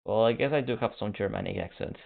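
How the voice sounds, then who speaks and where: Phone-like, halting male stream commentator. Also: on the low side, vocal fry. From Canada. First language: Spanish. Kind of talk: casual stream chat while waiting for a match. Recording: narrow phone-like band.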